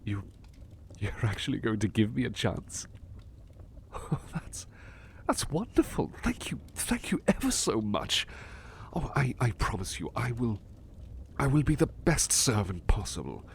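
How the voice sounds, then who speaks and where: A man playing an eager, courteous butler in an ASMR roleplay, speaking formally and politely with flustered, excitable stammering. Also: mid-range voice, unhurried, vocal fry, pauses often, slight background noise. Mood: grateful, excited. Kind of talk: ASMR roleplay acting, personal attention.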